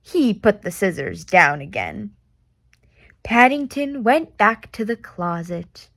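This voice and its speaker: A woman reading a children's picture book aloud in an expressive, deliberate way with playful reactions. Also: medium pitch, vocal fry, dry recording.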